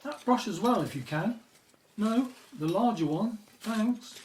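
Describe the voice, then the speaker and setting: A woman's voice: medium pitch, lively intonation, measured pace, a little mellow, close to an everyday voice. A woman playing a focused, polite archaeologist in a radio drama, heard echoey and off mic. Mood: focused, brisk. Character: focused, polite archaeologist named Doctor Philip Branscombe. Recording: echoey, distant from mic, faint noise.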